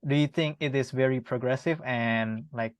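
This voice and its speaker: A man's voice: medium pitch, moderate pace, clear, resonant tone, no echo. Young Indonesian male interviewer, dry sound. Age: young adult. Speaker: interviewer, student. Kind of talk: semi-formal student interview.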